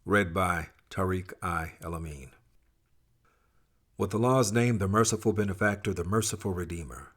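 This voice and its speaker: A man's voice: low voice, moderate pace, a bit bright. A man reading scripture from a script in a solemn, emphatic, dramatic way. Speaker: scripture reader. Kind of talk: expressive scripted scripture recitation.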